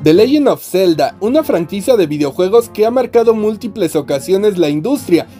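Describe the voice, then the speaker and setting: Mexican man, high voice, frank toy reviewer, light music underneath. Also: expressive, normal speed, mellow. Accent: Mexican Spanish. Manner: chatty, candid and opinionated. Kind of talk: casual unboxing review.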